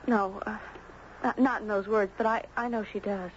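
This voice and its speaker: A woman's voice: mid-range voice, fairly even intonation, normal speed, close to an everyday voice. A woman playing a precocious, insistent young girl who speaks earnestly in a 1970s radio drama. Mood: earnest, wistful. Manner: earnest, emphatic. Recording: dull noisy 1970s radio broadcast.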